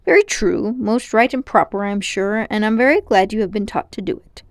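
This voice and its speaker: A woman's voice: medium pitch, a little fast, no room sound, clean studio sound, close to an everyday voice. A woman voicing a pompous, condescending, scheming old man, a self-important magician uncle in a storybook. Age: older. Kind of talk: storybook character voice.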